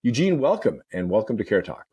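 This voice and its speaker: A podcast host with a somewhat low, husky voice. He talks in an easy conversational way, but often stumbles over repeated words before getting a question out. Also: very animated, fairly quick, warm tone. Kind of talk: conversational interview.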